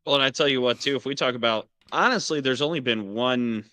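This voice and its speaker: A man's voice: medium pitch, brisk, pushed and bright, no room sound. A man co-hosting a sports talk show, chiming in to agree often and laying out his analysis. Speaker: co-host.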